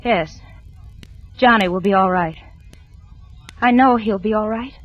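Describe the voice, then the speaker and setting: A woman's voice: medium pitch, measured pace, lightly acted. An actress playing an anxious, evasive wife and mother with a hesitant, nervous manner, in 1940s radio drama style. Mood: uneasy. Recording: muffled old radio recording, music behind.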